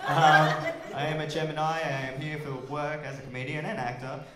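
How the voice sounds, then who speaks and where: Brief: Australian male comic, 30s, deadpan and awkward, high-ish voice, echoey comedy club. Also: fairly quick. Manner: awkward, deadpan, bursts into silly songs. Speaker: stand-up comedian. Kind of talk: absurd stand-up set. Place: comedy club stage with audience. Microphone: stage mic on stand. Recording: roomy club sound.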